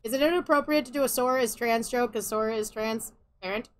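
A woman's voice: fairly high pitch, a little fast. A young woman streaming a video game, giving sarcastic, ranting commentary and swearing freely over the cutscenes. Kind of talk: casual let's-play commentary. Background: game music and cutscene audio.

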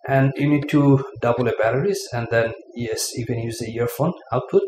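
Halting man in an echoey room. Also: medium pitch. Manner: halting, many fillers like "uh" and "you know". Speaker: product reviewer. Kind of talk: casual unboxing review. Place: living room at a table. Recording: echoey room, faint room noise.

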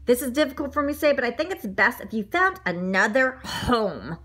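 Woman playing Mister Bing, a fond, animated storybook gentleman. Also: on the high side, brisk. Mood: sad, apologetic. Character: fussy, fond male donut owner, sentimental, hungry.

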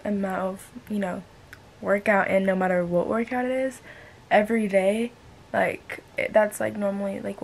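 Young teenage girl vlogging, noisy recording. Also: medium pitch. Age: young teenager, about 13. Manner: rambling, trailing off with "but yeah". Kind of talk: casual chat to camera, daily vlog. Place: bedroom at home, sometimes inside a car. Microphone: camera held at arm's length. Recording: clear background noise, handheld camera audio.

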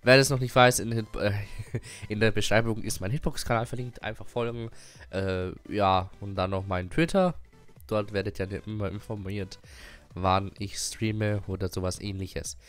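A man's voice: fairly low pitch, moderate pace, faint music behind. Young man with a cold, rambling update. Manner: rambling, many fillers and restarts, frequent chuckles. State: has a cold. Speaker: gaming YouTuber and streamer. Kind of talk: casual channel update.

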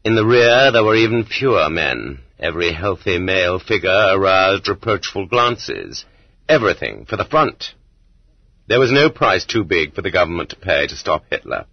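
A man narrating a literary nonfiction audiobook in a measured, rhetorical way, leaning on the ends of phrases. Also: deep voice, big pitch swings, slightly rough, no echo. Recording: dull, lo-fi cassette audiobook transfer.

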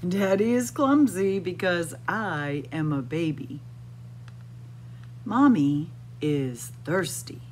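A middle-aged woman reading a picture book aloud to young children in a deliberate, expressive, sing-song way, recorded indoors. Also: fairly low pitch, slight background noise. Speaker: children's library storyteller. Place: indoors in a library room with bookshelves.

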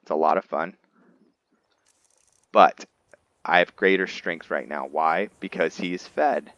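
A man's voice: low voice, a little slow, a bit bright, no room sound, highs cut off. A man giving casual commentary on his roleplay playthrough, explaining things to viewers and often answering his own questions. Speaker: gamer narrating a roleplay playthrough.

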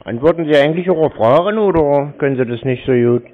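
A man making a casual phone call in a deadpan, laconic way. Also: fairly high pitch, fast, slight background noise. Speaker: prank-call scam baiter. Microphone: telephone. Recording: tinny narrowband phone line.

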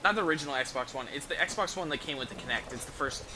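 A young man chatting casually on a game livestream, halting and hesitant, with a sweary, offhand way of talking. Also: fairly high pitch, animated, noisy background. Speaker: video game streamer. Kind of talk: casual livestream chat over gameplay. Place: small indoor room at home.

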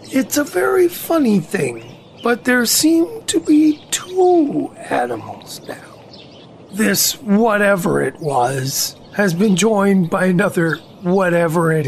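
A woman voicing a gentle, earnest, slow-witted male bear in a children's audio drama, musing aloud in a ponderous, solemn way. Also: mid-range voice, sing-song, slight background noise.

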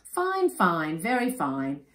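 A woman's voice: lowish voice, huge pitch swings, takes it slowly, fairly bright tone, full character voice. Chorus of approving club emus, raised and hearty, put on by a woman reader; roomy echo. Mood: admiring. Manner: approving, repetitive praise. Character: group of admiring male emus at a club.

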